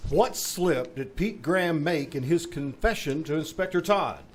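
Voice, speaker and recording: A man's voice: a little high, animated, a little slow, slight background noise. A man announcing in old-time radio style, with an emphatic, showy delivery. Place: live on a theater stage.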